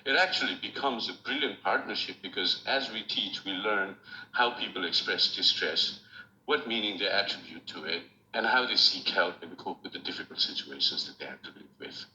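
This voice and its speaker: Nepali-accented man, bright forceful voice. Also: sits low. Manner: measured and formal, grateful. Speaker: award recipient giving an acceptance speech. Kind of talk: prepared acceptance speech. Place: room at home, recorded remotely. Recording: roomy, dull lo-fi with faint hiss.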